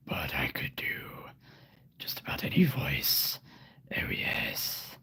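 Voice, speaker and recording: A man putting on a sinister whispering voice, slow and drawn out. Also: extremely low pitch, wildly swooping pitch, gravelly, pushed and bright, husky, voice pushed down, exaggerated voice.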